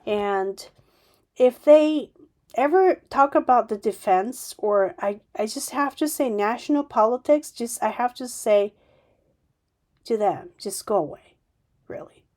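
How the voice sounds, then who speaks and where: A woman's voice: on the high side, a little slow, a little mellow. A woman hosting a solo podcast, speaking off the cuff with many restarts and sudden emphatic bursts. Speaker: podcast host. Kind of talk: unscripted solo podcast monologue.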